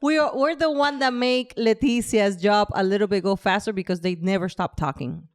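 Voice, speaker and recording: A woman's voice: mid-range voice, normal speed. A woman with a Latin American Spanish accent hosting a podcast, chatting casually and heaping praise on her guest. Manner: effusive, warm praise with frequent laughs. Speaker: podcast host. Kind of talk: casual interview chat.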